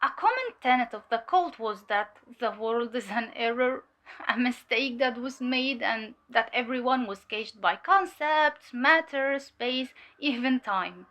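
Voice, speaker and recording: Female infiltrator played by an actress for audio drama: highish, crisp-edged voice, measured and hesitant testimony, weary but sharp. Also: lively intonation. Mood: uneasy, explanatory.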